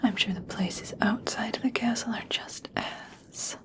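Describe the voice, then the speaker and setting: Slow whispering elf princess, played by a woman. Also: medium pitch, natural voice. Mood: gracious, soothing. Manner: soft, slow, soothing, formal. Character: regal elf princess, gracious, coaxing, subtly conditional kindness. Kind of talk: whispered ASMR personal attention roleplay.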